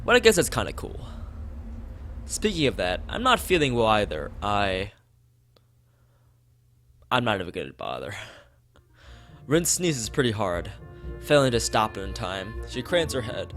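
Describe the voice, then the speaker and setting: A man's voice: fairly low pitch, huge pitch swings, unhurried, long pauses, a bit bright, soft background music. A young man reading a game's text aloud on a casual stream, rambling into asides and stumbling over lines. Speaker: streamer playing a visual novel.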